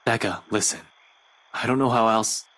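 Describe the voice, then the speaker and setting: A man's voice: on the low side, moderate pace, fairly bright tone, lightly acted, low-quality recording. An actor playing an earnest, troubled young man in a visual novel game, speaking in a hesitant, grave way. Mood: earnest, pleading.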